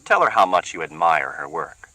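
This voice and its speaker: A man doing a weary, bitter woman, soft and slow. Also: deep voice, big pitch swings, no echo, full character voice, rough recording. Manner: slow, distinct, quietly cutting.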